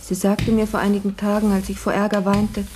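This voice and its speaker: An actress plays a put-upon, sorrowful housemaid in a radio drama. Her voice is mellow and soft-edged, at a medium female pitch. She speaks earnestly and plaintively, in careful old-fashioned phrases. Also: flat delivery, a little fast, a little hiss or hum, lightly acted. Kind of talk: German radio play acting.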